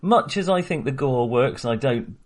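A man's voice: mid-range voice, expressive, normal speed. A man co-hosting a casual film podcast, talking with many fillers and restarts. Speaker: podcast co-host.

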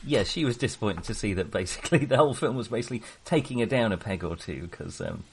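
A man chatting casually about films as a podcast co-host, in a halting, rambling manner. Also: on the low side, animated, gravelly, a little hiss or hum. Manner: halting, rambling, often chuckling. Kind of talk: casual film discussion.

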